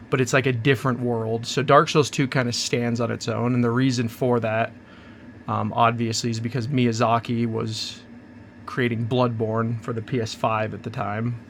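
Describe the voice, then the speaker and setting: Man, gravelly, hoarse from a cold, rambling casual host, mid-pitched voice. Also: slight background noise. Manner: rambling, chatty, self-interrupting. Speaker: channel host. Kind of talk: casual unboxing chat.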